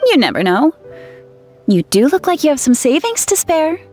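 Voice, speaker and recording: A woman's voice: high voice, big pitch swings, moderate pace, darkish tone, soft background music. A woman voicing a friendly young tribeswoman in a video game, speaking in an earnest, persuasive way. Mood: teasing.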